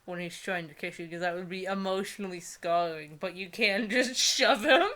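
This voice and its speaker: A woman's voice: lowish voice, moderate pace, pushed and bright. A young adult woman co-host giving wry, incredulous commentary in a casual, mocking chat. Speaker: co-host commenting on bad books.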